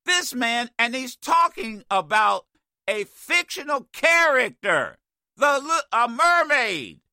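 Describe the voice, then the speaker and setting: Radio host guy ranting, slow drawn-out delivery, dry close studio sound, voice going a bit worn. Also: very high-pitched, no echo. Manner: animated, exasperated, many stammered restarts. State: losing his voice. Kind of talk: opinionated talk radio rant.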